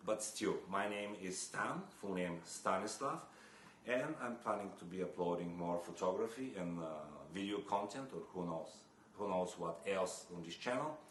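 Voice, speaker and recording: A man's voice: deep voice, normal speed. A young man from Bulgaria, talking casually to camera about photography, hesitant with many fillers and restarts. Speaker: photography vlogger. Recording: echoey room with faint noise.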